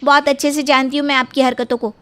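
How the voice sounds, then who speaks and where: A woman's voice: sits high, flat delivery, fast, fairly bright tone. A woman voicing a bold, impatient college-age sister-in-law in a cartoon moral story, complaining bluntly and defiantly.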